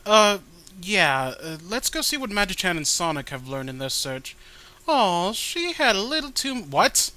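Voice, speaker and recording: A man's voice: high voice, sing-song, moderate pace, sharp tone, dry recording. A man riffing casually as he hosts a comic read-along, profane, emphatic and argumentative. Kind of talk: casual riffing commentary with read-aloud dialogue.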